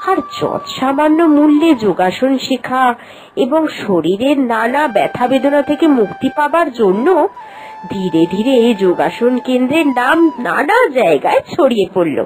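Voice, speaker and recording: A woman narrating a cartoon fairy tale in a steady storytelling tone. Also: high voice, normal speed, a little hiss or hum, rough recording.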